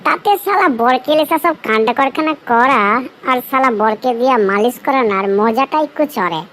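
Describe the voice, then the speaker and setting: A high-voiced, saucy cartoon housewife, put on by a woman. She is flirtatious, earthy and impatient, speaking over faint noisy ambience. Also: moderate pace, fairly bright tone. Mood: longing, frustrated. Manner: bold, earthy, suggestive. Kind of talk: comic cartoon dialogue.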